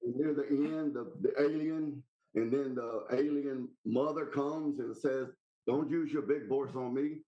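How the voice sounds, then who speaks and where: A Southern-accented man who calls in to a podcast and holds forth in a casual, rambling way. His voice sits at an ordinary middle pitch. He talks bluntly, in plain folksy country phrases. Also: steady tone, a little slow, dull audio. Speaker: podcast caller. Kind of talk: casual opinionated chat.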